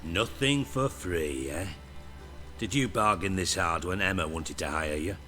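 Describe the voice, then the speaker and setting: Game villain: a low, sardonic underworld boss, theatrical and menacing, faint music underneath. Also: male voice, unhurried, bright, forceful tone. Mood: bargaining. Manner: theatrical, sarcastic, wordy. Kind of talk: video game voice acting.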